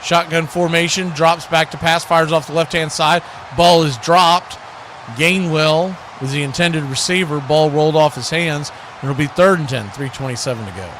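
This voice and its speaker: A man with a fairly high-pitched voice works as a sports host, mixing live football play-by-play with casual chat. Clear background noise runs under the whole feed. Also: moderate pace, a bit bright.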